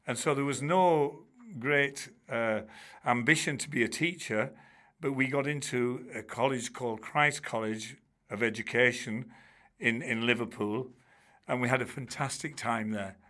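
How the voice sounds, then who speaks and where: A man's voice: medium pitch, unhurried. An older man, a former teacher telling stories in a chatty interview, full of restarts and asides. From Bradford, England. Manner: chatty, warm-humoured, many restarts and "you know"s. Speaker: guest, charity trustee and former teacher. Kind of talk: relaxed storytelling in an interview.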